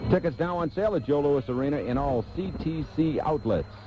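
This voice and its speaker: Male radio ad announcer, mellow low voice, little music behind. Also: expressive, a little fast, rough recording. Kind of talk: commercial announcement read.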